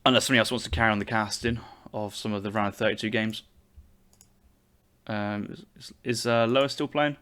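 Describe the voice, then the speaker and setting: A man's voice: fairly low pitch, steady tone, fairly quick, pushed and bright. A young man casting a strategy game tournament, breaking down units and matchups as he thinks aloud. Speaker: esports caster.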